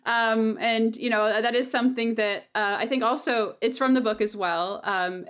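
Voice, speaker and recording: A woman's voice: mid-range voice, fairly bright tone. A woman hosting a casual interview, thinking aloud in an informal, hedging way. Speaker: host and audio drama producer.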